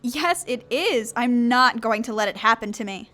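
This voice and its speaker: A woman's voice: fairly high pitch, lively intonation, moderate pace, sharp tone. A woman playing a determined young zombie girl in an audio drama, earnest and theatrical, both in dialogue and first-person narration. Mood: stubborn, anxious. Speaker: lead character and narrator.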